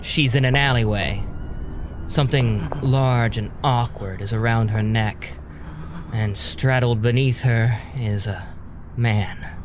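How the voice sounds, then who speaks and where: A man's voice: mid-range voice, steady tone, slow, background noise. A man delivering scripted, dramatic narration as the storyteller of an audio drama.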